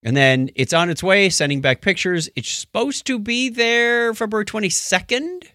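A man's voice: sits high, normal speed, pushed and bright, dry recording, clean studio sound. A man chatting casually about science news as a podcast co-host, with wry, joking asides.

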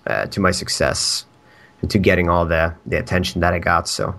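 A man's voice: low voice, steady tone, brisk, a bit bright. A man from Sweden with a Swedish accent, a podcast guest chatting casually, rambling, laughing often and swearing.

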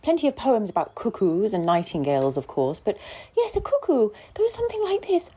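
A woman voicing a fussy, dotty elderly female poetry teacher with a croaky, theatrical voice and whispered asides. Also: wildly swooping pitch, brisk, dark tone. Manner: swooping pitch, whispered asides.

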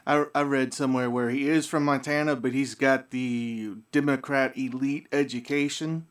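A man's voice: mid-range voice, steady tone, normal speed, clean tone. A man chiming in casually as co-host, matter-of-fact. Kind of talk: casual chat.

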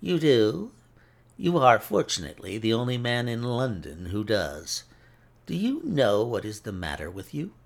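A male reader acts out a cruel gentleman villain from a classic detective story. His voice is rough and creaky, with big theatrical swings of pitch. He gloats with mock courtesy and savors each taunt smugly. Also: very animated, measured pace, exaggerated voice. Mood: smug gloating. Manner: taunting, mock-polite, smug.

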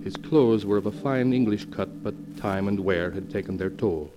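An actor narrating a suspense tale in solemn, deliberate 1940s radio style, with an ominous manner. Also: male voice, on the low side, noisy background, lightly acted. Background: dramatic music.

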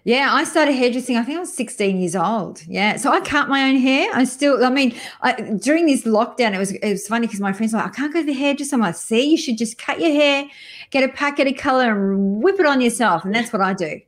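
A woman's voice: fairly high pitch, animated, fairly quick. Middle-aged woman guest, upbeat conversational interviewee. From France. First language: French. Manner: upbeat, chatty, with restarts. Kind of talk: casual interview chat.

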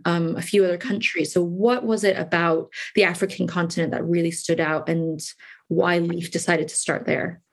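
A woman with a Californian accent, interviewing a guest in an earnest, thoughtful way with many fillers. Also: lowish voice.

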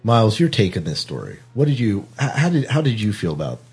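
A man's voice: on the low side, huge pitch swings, quick pace, a little mellow, husky. A man hosting a casual film discussion, repeating words as he steers the conversation along. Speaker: podcast host.

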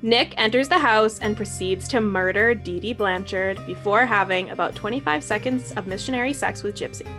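A woman's voice: medium pitch, normal speed, hardly any pauses, fairly bright tone, faint music behind. A woman hosting and narrating a comedic podcast reading, with wry explanatory asides.

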